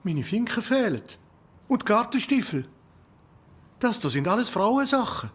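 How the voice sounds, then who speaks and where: An actor playing an excitable, cocky twenty-five-year-old inventor with a Swiss German accent in a children's radio play, full of exclamations. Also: male voice, sits high, moderate pace, slightly rough, exaggerated voice. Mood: indignant surprise. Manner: exclamatory, peppered with surprised interjections.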